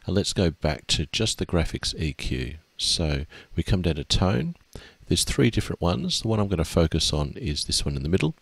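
A middle-aged man teaching music software step by step in a steady, explaining way with small fillers, from a small room at his desk. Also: low-pitched, gravelly, dry recording. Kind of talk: screen-recorded software tutorial.

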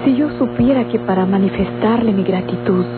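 A woman's voice: mid-range voice, normal speed, heavy background noise, lightly acted. An actress with a Mexican accent playing a dutiful, resigned young countrywoman in a radionovela, speaking formally and earnestly. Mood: resolute, self-sacrificing. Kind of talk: golden-age radionovela acting. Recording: tinny vintage radio recording, loud music.

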